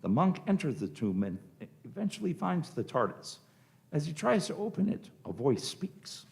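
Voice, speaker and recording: A man's voice: a little high, very animated, moderate pace, creaky, a little mellow. A man reading scripted narration for a live radio play.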